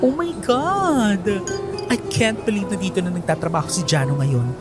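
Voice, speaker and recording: A woman's voice: mid-range voice, sing-song, moderate pace, natural voice. Actress as a bubbly, swooping young woman. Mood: gushing, proud. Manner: exclamatory, gushing, Taglish. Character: bubbly, gushing young woman, excitable best friend. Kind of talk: radio drama acting. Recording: music behind the voice.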